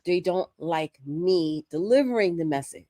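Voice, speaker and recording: A hoarse woman, sick with a respiratory virus, making earnest, emphatic points as a guest on a livestream conversation. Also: fairly low pitch, animated, unhurried, dark tone, no echo, clean studio sound. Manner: earnest, emphatic, building points through repetition. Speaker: guest commentator.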